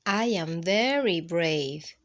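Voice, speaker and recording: Proud little kid, read by a woman, boastful, childlike, slow, lively pitch. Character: small child who insists she is big and brave.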